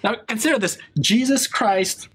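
A man's voice: high voice, normal speed, husky. A man from Israel, a Hebrew speaker, teaching a Bible lesson and quizzing his audience. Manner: animated, asks the audience questions. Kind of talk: classroom lesson with a live audience.

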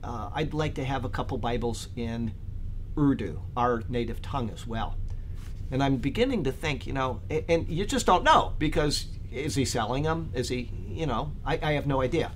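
Man, bible teacher, rambling casual talk, lots of ums and restarts, average pitch, faint hum behind. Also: lively intonation, moderate pace. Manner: rambling, conversational, many fillers and restarts.

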